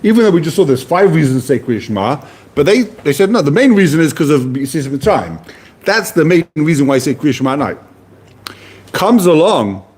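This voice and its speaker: A man's voice: a little high, a little mellow, slight background noise. A man giving a casual Talmud class, explaining Hebrew and Aramaic terms in plain conversational English. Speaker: Talmud teacher.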